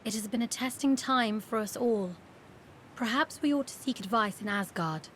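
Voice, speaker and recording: A woman's voice: on the high side, moderate pace, fairly bright tone, slight background noise, lightly acted. A woman playing a caring, courteous companion in a fantasy video game, speaking politely and formally. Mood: gentle concern.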